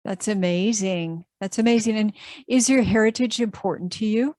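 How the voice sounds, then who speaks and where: A woman hosting an interview, asking gentle questions and affirming her guest. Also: medium pitch, moderate pace, no echo, studio quality. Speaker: interview host. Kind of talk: warm encouraging interview.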